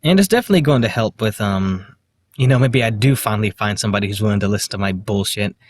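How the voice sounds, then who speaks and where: A man with a slight lisp, talking to the camera in a halting, hesitant way, full of fillers and restarts. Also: fairly low pitch. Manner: quiet, self-deprecating. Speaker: vlogger. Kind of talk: casual self-recorded monologue.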